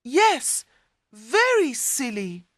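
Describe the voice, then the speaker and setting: Man putting on a high, sharp, bossy woman. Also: wildly swooping pitch, very slow, no room sound, studio quality, heavily acted. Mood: scornful. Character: sharp, suspicious, bossy woman in a fairy tale.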